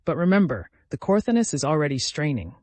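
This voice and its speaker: A man's voice: fairly high pitch, sing-song, quick pace, darkish tone, no room sound. A man voicing an exhausted, patient scholar in a fantasy story, giving grave advice. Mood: cautioning.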